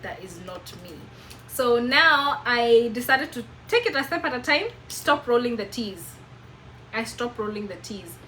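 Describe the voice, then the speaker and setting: A woman's voice: fairly high pitch, lively intonation, measured pace, pushed and bright, slight background noise, rough recording. A woman in her twenties with a Kenyan accent, telling a personal story to camera in an emphatic, preachy way. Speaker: vlogger.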